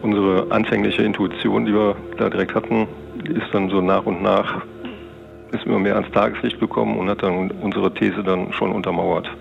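Middle-aged male investigator, interview recollection, deep-leaning and full of fry, hesitant phrasing, lo-fi sound over a music bed. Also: flat delivery, moderate pace. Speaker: police investigator recounting a case. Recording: dull lo-fi sound, music underneath.

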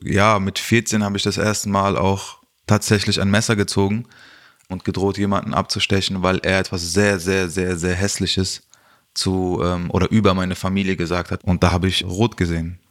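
A man's voice: low-pitched, flat delivery, moderate pace, a bit bright. A man from Germany speaking as an interview guest, reflecting on personal experience in colloquial language and explaining step by step. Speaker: social worker, interview guest.

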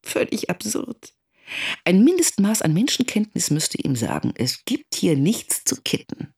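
An actress playing a worried, candid middle-aged mother telling her story in a dramatic first-person monologue, with a husky, creaky voice. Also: fairly low pitch, very animated, measured pace, darkish tone, full character voice. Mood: indignant, wry.

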